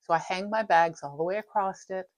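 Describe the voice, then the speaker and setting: Slightly raspy lowish woman. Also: fairly quick, a little hiss or hum. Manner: chatty, rambling, self-interrupting. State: slightly raspy from dry throat. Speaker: flosstube host. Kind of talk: casual show-and-tell chat. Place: craft room at home.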